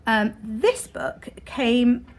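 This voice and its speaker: A young woman vlogging casually about her writing year, her voice a little croaky from illness, chatty and full of fillers. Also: fairly high pitch, very animated, extremely slow. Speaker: author vlogger. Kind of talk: casual vlog talk to camera.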